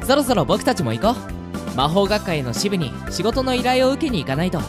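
A woman's voice: medium pitch, very animated, a little fast, music in the background, lightly acted. A woman playing a polite, sensible younger brother, an earnest young mage who chides his elder, in a Japanese fantasy radio drama. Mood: reasoning.

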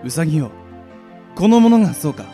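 A man's voice: a little high, big pitch swings, normal speed, dark tone, soft background music. A man in an amateur radio drama playing a benevolent king with grand, archaic royal speech. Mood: solemn welcome. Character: stately, benevolent fantasy king.

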